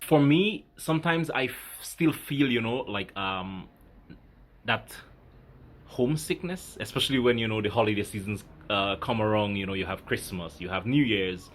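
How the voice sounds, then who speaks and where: A man in his thirties from Grenada, talking reflectively to his viewers in a halting, casual way with many fillers. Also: on the low side, a bit bright. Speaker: vlogger. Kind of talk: casual talk to camera.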